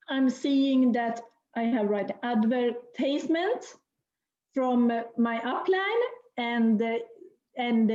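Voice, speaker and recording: A woman's voice: fairly high pitch, darkish tone. Nervous giggly woman in broken English, echoey. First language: not English. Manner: nervous, giggly, halting non-native English. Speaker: guest speaker sharing her story. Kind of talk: personal testimony on a video call. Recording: echoey dull video call audio.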